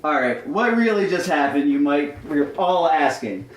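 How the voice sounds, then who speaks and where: Lively comedic storyteller in an echoey room. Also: mid-range voice, a little slow, a little hiss or hum. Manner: mock-formal storybook delivery, amused. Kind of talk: scripted live comedic narration.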